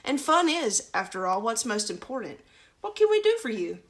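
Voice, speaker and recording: Woman putting on a bouncy, high cheerful donkey. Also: big pitch swings, normal speed. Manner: bouncy, friendly. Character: cheerful, fun-loving storybook donkey, eager to help.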